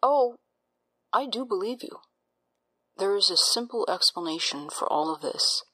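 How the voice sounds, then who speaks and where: A woman playing a doctor in an audio drama, breathy, soothing and clinical, with a patronizing, unsettling edge. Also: mid-range voice, expressive, measured pace, pushed and bright, heavily acted. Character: calm, smoothly reassuring doctor with an unsettling edge.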